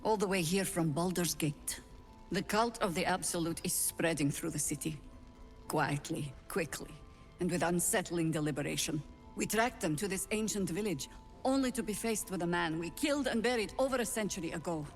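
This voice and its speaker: An actress playing a battle-worn, wary elder druid leader in a video game, speaking in a measured, wry, grave way. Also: female voice, fairly low pitch, faint music behind, close to an everyday voice. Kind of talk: dramatic video game dialogue.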